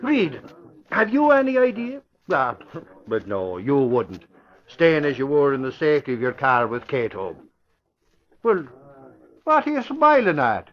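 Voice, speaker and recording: An actor playing a puzzled, needling police commissioner in an old-time radio drama. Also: male voice, on the high side, wildly swooping pitch, unhurried, long pauses. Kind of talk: 1940s radio acting. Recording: muffled old radio broadcast.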